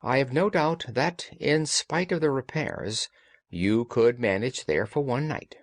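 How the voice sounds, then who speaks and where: A man voicing a commanding, analytical gentleman detective in an audiobook, speaking precisely and formally. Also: medium pitch, unhurried, dry recording. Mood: brisk, instructing. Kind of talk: audiobook character dialogue.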